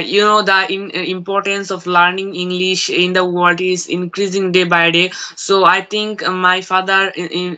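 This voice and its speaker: Hesitant teenage boy, bright flat voice. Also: high-pitched. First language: Bangla. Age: teens. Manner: hesitant, many fillers and restarts. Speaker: student learner. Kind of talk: English conversation practice on a video call. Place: room at home. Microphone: phone on a video call.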